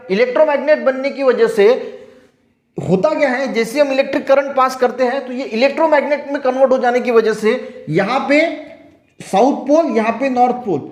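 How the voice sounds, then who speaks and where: A man with an Indian accent teaching science, explaining step by step with frequent repetition in a classroom lesson style. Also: very high voice. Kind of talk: classroom explanation alternating read-out textbook sentences with explanation. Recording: echoey room.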